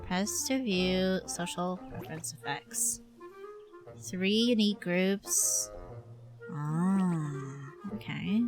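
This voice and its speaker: A woman's voice: fairly low pitch, slow pace, long pauses. A young adult woman livestreamer chatting casually over a game, with playful muttered asides and reactions. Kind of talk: casual game stream chat. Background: game music.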